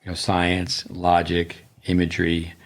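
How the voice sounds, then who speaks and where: A middle-aged man, a tennis coach co-hosting a podcast, talking casually and listing ideas in quick bursts. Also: low voice, monotone.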